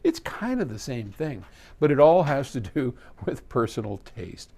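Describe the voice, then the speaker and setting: Rambling, conversational man at medium pitch. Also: sing-song, a little slow, creaky, mellow, husky. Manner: conversational, rambling with self-corrections and asides. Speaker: company video host answering viewer questions. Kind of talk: casual unscripted talk to camera. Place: trade-in equipment warehouse.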